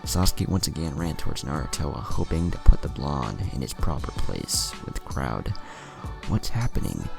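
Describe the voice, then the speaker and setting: A man's voice: rumbling low, sing-song, normal speed, creaky, few pauses. A man narrating scripted fan fiction, acting out dialogue along the way, with music behind him.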